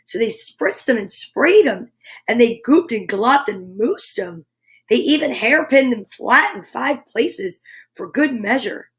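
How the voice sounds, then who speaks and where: Female children's storyteller, high voice, unhurried read-aloud with playful sound effects. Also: expressive, a bit bright. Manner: playful, drawn-out sound effects and repeated words. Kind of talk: animated picture-book read-aloud for children.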